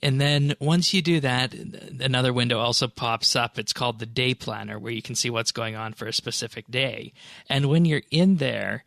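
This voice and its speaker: A man hosting a podcast in casual discussion, explaining things in a measured, somewhat hesitant way. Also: medium pitch, vocal fry, fairly bright tone, no room sound, studio quality. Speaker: podcast host.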